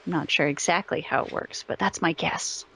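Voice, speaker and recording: A woman's voice: deep voice, normal speed, vocal fry, fairly bright tone, husky. A woman speaking casually as an interview guest.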